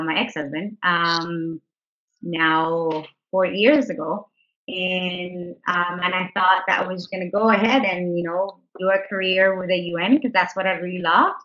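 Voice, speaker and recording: A woman's voice: fairly low pitch, bright, forceful tone. A woman from Canada casually introducing herself on a webinar, chatty with many fillers and restarts. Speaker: co-founder presenting on a webinar. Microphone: video call. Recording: lo-fi online call audio.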